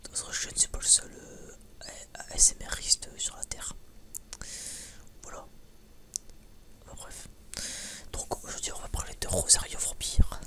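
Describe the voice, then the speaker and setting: A man's voice: moderate pace, long pauses, pushed and bright. A man whispering a casual, rambling ASMR chat, informal and sweary, hesitant and often stammering over repeated words. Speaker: ASMR video creator.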